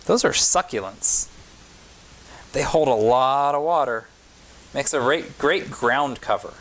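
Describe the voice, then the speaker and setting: A man's voice: medium pitch, slow pace, fairly bright tone, low-quality recording. A man voicing a kind, patient older woman who gardens and reminisces in a storybook. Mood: reminiscing. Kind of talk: storybook character dialogue.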